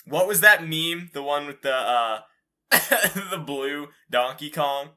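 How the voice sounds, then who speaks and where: A man reads fan fiction as a podcast co-host, with a lively, swinging delivery. His voice is very bright and mid-pitched, and he takes his time, slipping into irreverent banter. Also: slow pace. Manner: giggly, irreverent. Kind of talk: comic fanfic reading with casual banter.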